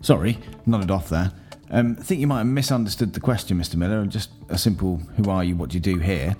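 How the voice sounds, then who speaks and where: Deep-voiced man with dry, teasing sarcasm. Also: quick pace, warm tone, soft background music. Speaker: podcast host, interviewer. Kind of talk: scripted podcast interviewing.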